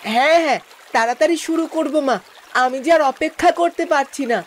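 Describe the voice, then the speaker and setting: A woman's voice: high voice, quick pace, a little hiss or hum, lightly acted. A woman voicing an impatient, eager little boy duckling in a cartoon. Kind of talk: cartoon voice acting.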